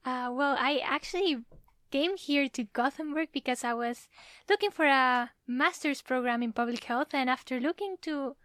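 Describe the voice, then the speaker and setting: A woman's voice: high voice, normal speed, a bit bright, dry recording. A young woman speaking casually and a bit hesitantly as she introduces herself on a student podcast.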